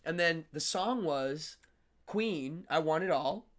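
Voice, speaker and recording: A man's voice: a little high, slow pace. A man with a nasal voice hosting a casual live-stream interview, telling stories with lots of laughter. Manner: animated storyteller, laughs often. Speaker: host. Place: New York City.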